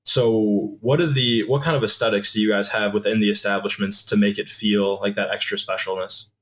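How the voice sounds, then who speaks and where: A man hosting a podcast, asking questions in a relaxed way with some fillers. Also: on the low side, fairly quick. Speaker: podcast host. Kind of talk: casual podcast interview.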